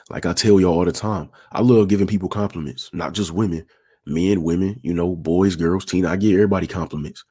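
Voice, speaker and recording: A young man with a raspy voice, talking in a laid-back, smooth way and repeating himself as he hosts a casual livestream. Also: sits low, a little mellow. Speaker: livestream host.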